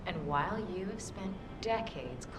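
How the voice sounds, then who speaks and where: A woman's voice: fairly low pitch, lively intonation, normal speed, heavy background noise, close to an everyday voice. A woman playing a brash, ambitious corporate schemer in a video game, mocking, haughty and cutting. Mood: gloating, mocking. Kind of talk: video game cutscene acting. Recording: roomy echo under loud music.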